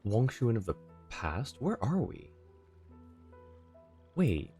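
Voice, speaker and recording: A man's voice: medium pitch, big pitch swings, slow, warm tone, soft background music. A man giving casual live commentary as a game streamer.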